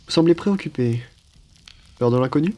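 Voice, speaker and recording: Man playing a calm, hospitable phoenix storyteller, expressive. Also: fairly high pitch, fairly quick, close to an everyday voice. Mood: welcoming, reassuring. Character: wise hospitable male phoenix welcoming travelers into his cave. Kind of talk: French roleplay ASMR storytelling.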